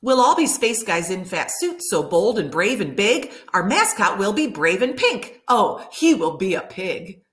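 A middle-aged woman reads a children's picture book aloud like a teacher, animated and clearly enunciated for young listeners. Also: mid-range voice, unhurried, few pauses. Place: room at home by a window, indoors.

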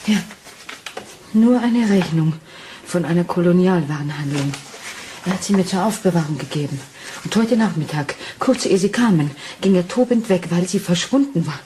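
An actress playing an anxious, trusting Victorian wife in a German radio crime drama, earnest and refined. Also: female voice, on the low side, animated, normal speed, mellow, slight background noise, lightly acted.

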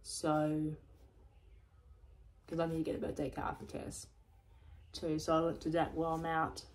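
A woman talking in a rambling personal vlog, repeating herself often, with a voice going croaky. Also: low-pitched, completely flat delivery, moderate pace. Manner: repetitive, loose, restarts and repeats herself often. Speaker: vlogger.

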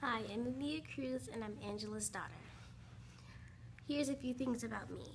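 A woman's voice: a little high, moderate pace, gravelly, slight background noise, low-quality recording. A young woman giving a careful, recited self-introduction into a phone camera at home. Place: indoors, at home.

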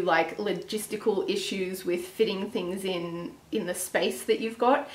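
A woman in her thirties with an Australian accent, chatting enthusiastically to camera as she shows her home kitchen. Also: mid-range voice. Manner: chatty and enthusiastic, friendly asides. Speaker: vlogger. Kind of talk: casual home tour vlog. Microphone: camera mic, filming herself. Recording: echoey kitchen room sound.

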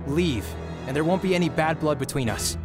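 An actor voicing a calm, merciful young knight with an easygoing manner in a video game. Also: male voice, on the high side, a little fast, music in the background, close to an everyday voice. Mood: calm, weary.

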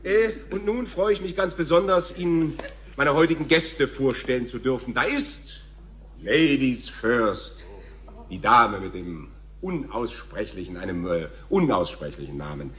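A man's voice: mid-range voice, lively intonation, fairly bright tone, slight background noise. Pompous showman host played by a man, swooping delivery. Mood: flustered, smarmy. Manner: theatrical, grandiose, dramatic pauses. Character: showy, pompous male TV talk show host. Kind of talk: radio drama acting.

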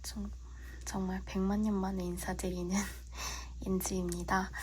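A young woman with a cold and a husky, slightly whispery voice, politely walking viewers through a planner in a relaxed vlog with frequent fillers. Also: mid-range voice, unhurried, slight background noise. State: has a cold. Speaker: vlogger. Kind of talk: casual tutorial walkthrough. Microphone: phone.

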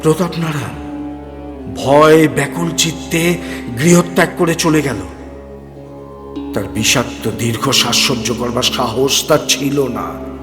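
A man narrating a horror story with theatrical, deliberate phrasing and dramatic pauses. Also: mid-range voice. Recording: long hall reverb, music underneath.

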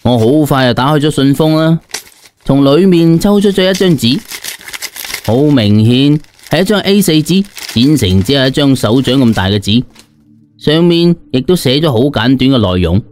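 A man's voice: mid-range voice, lively intonation, normal speed, a little mellow, a little hiss or hum. A man narrating a story as an audiobook storyteller in colloquial, slangy first person, dramatizing it expressively. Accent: Cantonese. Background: background music.

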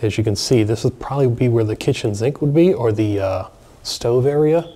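A man excitedly narrating a walkthrough of an empty mansion, chatting to viewers as he films. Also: medium pitch, fairly quick. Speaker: abandoned places explorer. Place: large empty mansion, indoors.

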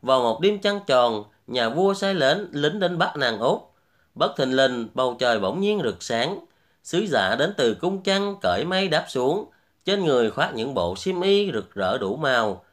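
Male fairy-tale storyteller with animated pitch. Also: unhurried. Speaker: storyteller, host. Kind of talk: scripted fairy-tale narration.

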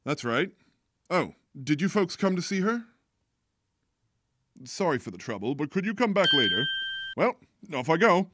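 A man's voice: on the high side, big pitch swings, moderate pace, pauses often, fairly bright tone. A man voicing an exuberant, self-important police detective in a theatrical, hearty way for a game fan dub. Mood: businesslike.

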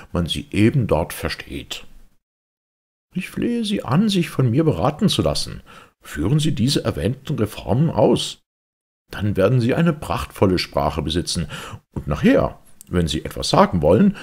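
A man playing an ironic humorist orator, delivering a theatrical, mock-earnest formal speech with dramatic emphasis in a husky, gravelly voice. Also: mid-range voice, a little mellow, heavily acted. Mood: earnest pleading. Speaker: reader. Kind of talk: theatrical literary speech reading.

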